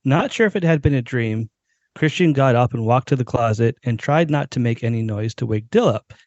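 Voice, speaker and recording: A man's voice: medium pitch, brisk, a little mellow, no echo, highs cut off. A young man speaks on a podcast in an ordinary mid-range voice. The recording is close and dry, with a slightly dulled top end. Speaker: podcast co-host and amateur writer. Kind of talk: dramatic story reading, then casual chat.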